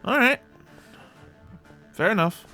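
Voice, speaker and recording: A man's voice: high-pitched, animated, slow pace, sharp tone. A man hosting a gameplay video with casual, rambling roleplay commentary, often repeating his own phrases as he talks to viewers. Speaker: host of a Minecraft roleplay video. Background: music.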